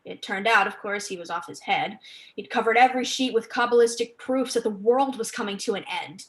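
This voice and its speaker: A woman acting a scornful, high-voiced woman scholar. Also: a little fast, lightly acted, poor audio. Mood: eager, dry. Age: young adult. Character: assertive, scornful woman author researching landscape garden history. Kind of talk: staged reading of a stage play.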